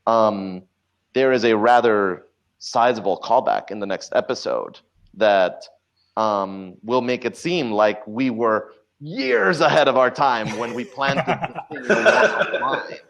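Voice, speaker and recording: A man's voice: mid-range voice, slow, creaky, a bit bright, rough recording. A man explaining his work casually as an interview guest, in a self-deprecating way. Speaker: podcast guest, show writer.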